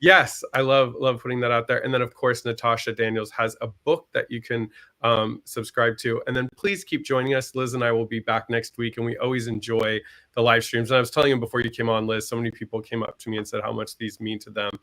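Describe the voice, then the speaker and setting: An earnest, talkative man at an ordinary middle pitch, co-hosting a live-streamed chat over a video call. He speaks openly and at length about his own experience. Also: flat delivery, brisk, sharp tone. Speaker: co-host.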